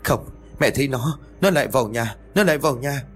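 A man's voice: fairly low pitch, sing-song, moderate pace. A man voicing a terrified old grandmother who pleads and cries out in panic. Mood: panicked.

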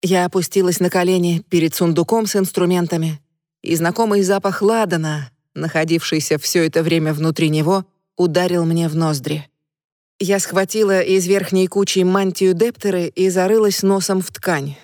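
A woman's voice: fairly low pitch, steady tone, moderate pace, a little mellow, no room sound, studio quality. A woman narrating a gothic romance audiobook in the first person, emotional and intimate.

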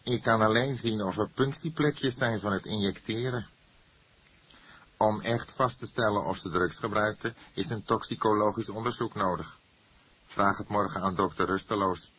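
Man voicing a laconic old coroner, pitched up. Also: moderate pace, a bit bright, slightly rough. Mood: laconic, matter-of-fact. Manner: laconic, matter-of-fact. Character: laconic old male police coroner, dry and ceremonious.

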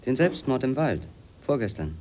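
An actor playing a brooding, insistent former magazine editor in a measured, introspective German radio play style. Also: male voice, medium pitch, sing-song, a little mellow. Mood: insistent, questioning. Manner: measured, literary, introspective narration.

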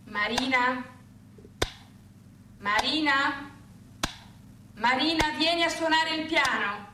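A woman summoning someone in a radio drama. Her high voice stretches out each slow call. It comes through echoey, over clear background noise. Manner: drawn-out calling. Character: woman calling Marina to come to the piano. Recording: echoey, distant, noisy with clicks.

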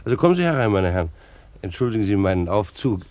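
A man's voice: on the low side, big pitch swings, quick pace, darkish tone, dry recording. An actor in a German radio play, playing a retired bailiff and beekeeper in his sixties, dutiful, fussy and wounded, with a formal, reflective manner. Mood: courteous. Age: 60s. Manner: formal, reflective, old-fashioned official's wording. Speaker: actor narrating in character.

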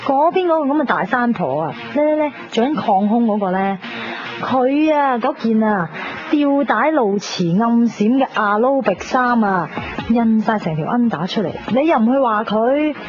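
An actress playing a vain, sassy young fashion lover in a Hong Kong Cantonese radio drama, whining and bantering with English words mixed in. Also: female voice, a little high, animated, normal speed, background noise, close to an everyday voice. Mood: sassy, catty. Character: sassy, vain, fashion-obsessed young woman, comically whiny. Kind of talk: 1990s radio drama acting. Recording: dull 1990s radio drama recording. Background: faint music.

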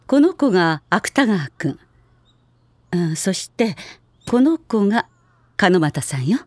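A woman playing a gentle but guarded schoolteacher who recalls former pupils in an audio drama. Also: medium pitch, big pitch swings, takes it slowly, no room sound. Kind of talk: Japanese audio drama acting.

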